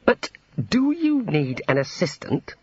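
A man's voice: on the high side, big pitch swings, takes it slowly, a little mellow, slightly rough, dry recording, highs cut off, pitched above the performer's own voice, heavily acted. A man voicing an earnest, exasperated young wizard scholar, gloomy, hesitant and awkwardly polite, in an audiobook story. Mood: anxious. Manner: hesitant, gloomy, long-suffering.

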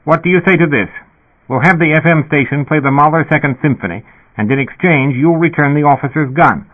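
A pushy, irritable police dispatcher on an intercom, acted by a male audiobook narrator. The voice is pitched well up and loud, reasoning impatiently. It sounds thin and narrow, like radio. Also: brisk, lightly acted. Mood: coaxing. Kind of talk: audiobook character voice.

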